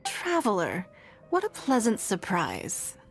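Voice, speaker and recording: An actress playing a gracious, genteel lady in a video game, polished and gently teasing. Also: mid-range voice, huge pitch swings, slow, exaggerated voice. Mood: pleasantly surprised. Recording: music behind the voice.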